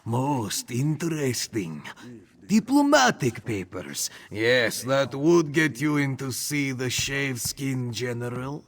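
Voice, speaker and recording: A man voicing a vain, sly cat-man forger in a video game, boastful and self-important, with a gravelly, husky voice. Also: medium pitch, big pitch swings, slow. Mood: sly, pleased. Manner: boastful, refers to himself in third person. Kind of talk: video game character acting.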